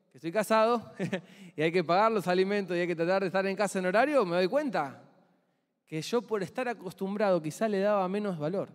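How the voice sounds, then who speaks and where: Argentine preacher in an echoing hall. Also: male voice, high-pitched. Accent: Argentine Spanish. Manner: chatty, asks the congregation questions. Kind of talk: conversational sermon. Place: church hall.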